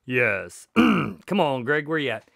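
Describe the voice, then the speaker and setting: A man's voice: medium pitch, sing-song, slow pace, creaky, a bit bright. A man, a voice actor, in casual banter between takes.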